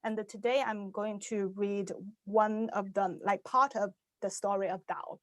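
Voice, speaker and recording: A young adult woman from Shanghai whose first language is Chinese, giving an online talk in English about her writing, pausing often with "uh" and "um" and restarting her sentences. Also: mid-range voice, fairly even intonation, no room sound. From Shanghai, China. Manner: hesitant, many "uh" and "um" fillers, restarts. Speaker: science fiction author giving a talk.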